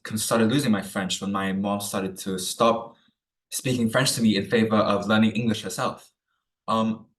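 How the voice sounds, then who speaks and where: A teenage boy giving a student research presentation over a video call, hesitant with many pauses and fillers. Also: fairly low pitch, a bit bright, distant mic. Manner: hesitant, many ums and uhs. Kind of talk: presentation talk.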